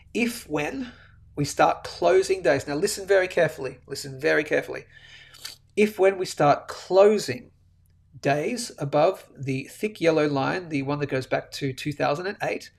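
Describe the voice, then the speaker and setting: A man hosting a live chart-analysis show, animated and a bit sniffly, tagging his points with "okay?". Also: on the high side, gravelly. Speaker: host of a daily live precious-metals show. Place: indoors, a different room than usual.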